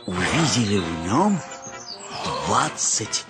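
Slow, animated male narrator over loud music. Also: lowish voice. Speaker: audiobook narrator. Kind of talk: expressive scripted narration of a classic short story.

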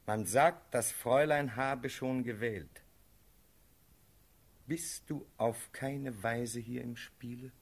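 An actor playing a prince's loyal, anxious friend in a radio play, speaking verse in an earnest, measured, husky voice. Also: male voice, medium pitch. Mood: probing.

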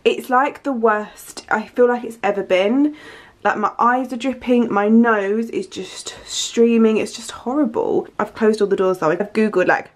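A young woman in her twenties chatting casually to her handheld camera at home, enthusiastic and full of fillers, her nose stuffy from hay fever. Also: medium pitch. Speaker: lifestyle vlogger. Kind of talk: casual vlog chat to camera. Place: living room at home, indoors.

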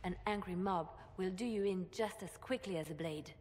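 A woman's voice: on the low side, normal speed, darkish tone, in a large hall, close to an everyday voice. An actress playing a cautious, wary female spymaster in a fantasy game.

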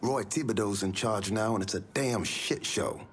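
A man's voice: lowish voice, normal speed, slightly rough. A man playing a wry, talkative smuggling informant in a video game, with a folksy, colloquial manner. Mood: wry, gossipy.

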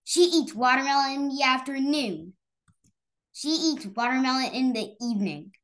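A woman with a fairly high voice reads a picture book aloud to children. Her reading is halting, and she stumbles over harder words as she goes. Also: a bit bright. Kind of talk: read-aloud children's story.

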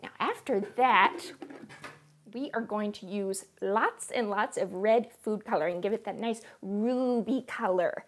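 A young woman playing a chirpy, wacky cooking-show host in a home kitchen, with a bubbly sing-song delivery and sudden hushed asides. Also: mid-range voice, measured pace, close to an everyday voice. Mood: perky. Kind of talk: parody cooking show, scripted comedy.

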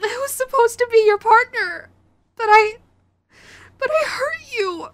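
A woman's voice: very high voice, lively intonation, a little slow, a bit bright, no echo, exaggerated voice. A woman playing a sweet, clingy, remorseful yandere girlfriend in an audio roleplay, hesitant and pleading, speaking intimately to the listener. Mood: tearful, guilty. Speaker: voice actor.

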